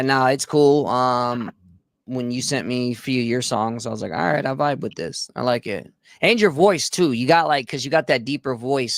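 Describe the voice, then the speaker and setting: A man's voice: medium pitch, no echo, clean studio sound. A man hosting a casual livestream talk, laid-back, laughing often and reading out chat comments. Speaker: livestream host.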